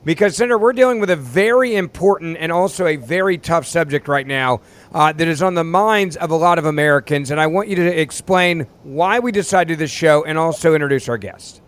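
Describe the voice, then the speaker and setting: Upbeat higher-voiced man opening a show. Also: a little fast, fairly bright tone. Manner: upbeat announcer delivery. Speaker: podcast co-host.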